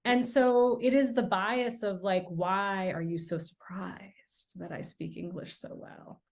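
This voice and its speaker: A woman's voice: mid-range voice, takes it slowly. A middle-aged American woman, an author and guest on a podcast interview, telling stories in an earnest, emphatic way. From United States. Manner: earnest, emphatic, animated storytelling.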